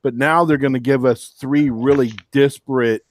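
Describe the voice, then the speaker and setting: A man's voice: mid-range voice, fairly even intonation, moderate pace. A man co-hosting a casual podcast, enthusiastic and rambling, often restarting his sentences. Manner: enthusiastic, rambling, self-interrupting. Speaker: co-host.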